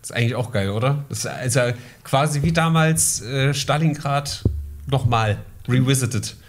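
A man's voice: mid-range voice, normal speed, background noise. A man hosting a casual podcast, chatting with a joking, ironic tone. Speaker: podcast host.

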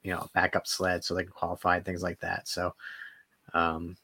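A man's voice: sits low, completely flat delivery, normal speed, pushed and bright, slightly rough, dry recording. A man co-hosting a podcast, chatting and telling anecdotes in a loose, informal style peppered with "like". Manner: informal, loose storytelling with many "like"s. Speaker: podcast co-host. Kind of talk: casual chat, anecdotes.

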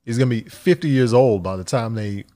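A man's voice: medium pitch, a little fast, mellow. Laid-back man hosting a podcast. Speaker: podcast host. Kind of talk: casual podcast chat.

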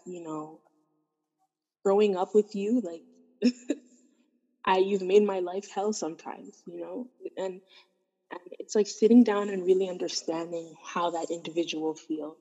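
A woman's voice: lowish voice, fairly even intonation, a little slow, mellow, big-room sound. A woman from Canada talking in a casual discussion, earnest and thoughtful, with many fillers and restarts. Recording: dull, hollow-sounding recording.

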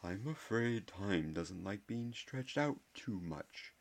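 A man voicing an eccentric, playful old male wizard headmaster in a soft, airy, cheerful tone. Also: lowish voice, animated, takes it slowly, full character voice.

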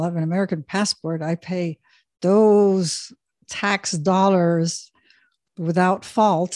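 A woman's voice: lowish voice, dark tone, no echo, studio quality. A middle-aged woman from Switzerland, an executive coach, talking casually as a podcast guest with frequent fillers and restarts. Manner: hesitant, many fillers and restarts. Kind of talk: casual interview talk.

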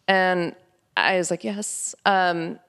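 An American woman with a medium-pitched voice tells personal stories as an interview guest. She talks casually and with animation, slipping into quoted dialogue as she goes. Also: normal speed, fairly bright tone. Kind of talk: conversational personal storytelling.

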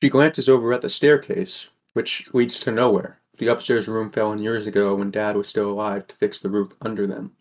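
A man's voice: on the low side, fairly even intonation, normal speed, hardly any pauses. A man playing a weary, wry sixteen-year-old boy from a poor mining town, narrating a fan audio drama in the first person. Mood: somber.

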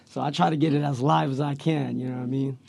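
A man with a raspy voice, talking slangy and laid-back as a rapper guest in a casual interview, with lots of fillers. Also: medium pitch, fairly even intonation, fast talker, a little mellow. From Central Valley, California.

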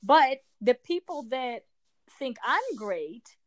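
A woman's voice: high voice, big pitch swings, slow. A Dutch-born woman whose first language is Dutch and whose English sounds American, a podcast guest in casual conversation who talks emphatically and restarts phrases as she builds her point. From Netherlands.

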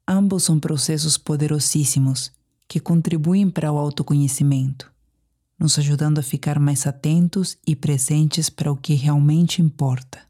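A woman with a Brazilian accent leading a guided sleep meditation, soothing and deliberate, leaving pauses between phrases. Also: low-pitched, steady tone, dark tone.

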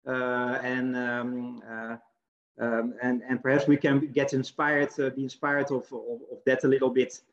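A man's voice: mid-range voice, little pitch movement, unhurried. A man hosting an interview over a video call, hesitant, with many fillers and restarts. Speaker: interview host. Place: home in the Netherlands. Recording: dull lo-fi call audio.

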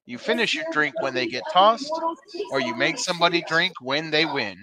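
A man's voice: a little high, sing-song, moderate pace, a crowd in the background. A man hosting a live podcast at a bar and grill, chatting casually and laying out drinking-game rules. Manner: laid-back, explains drinking-game rules. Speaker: podcast host.

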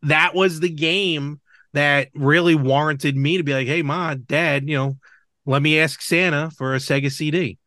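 A man's voice: fairly high pitch, fairly even intonation, unhurried, sharp tone, no echo, clean studio sound. A man hosting a podcast, chatting casually and enthusiastically about retro video games. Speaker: podcast host.

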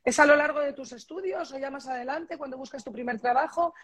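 A woman's voice: high voice, brisk, a bit bright. A woman hosting a podcast, asking long winding interview questions. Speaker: podcast host.

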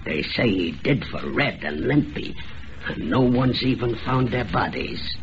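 An actor playing a boastful, cruel hobo murderer, drunk and wary, with rough slangy American speech in an old-time radio drama. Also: male voice, mid-range voice, expressive, unhurried, lightly acted. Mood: uneasy. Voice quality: rough uneducated slangy diction. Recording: dull, noisy old radio recording.

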